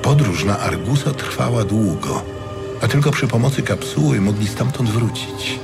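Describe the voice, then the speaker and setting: A man reading scripted dramatic fantasy narration in a measured, solemn storytelling manner. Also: mid-range voice, animated, vocal fry, over loud music. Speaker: audiobook narrator.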